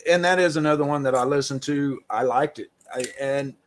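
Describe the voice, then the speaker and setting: A man's voice: mid-range voice, fairly quick. A middle-aged man, hosting an interview in an easy-going, chatty way. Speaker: podcast host. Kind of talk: casual interview chat.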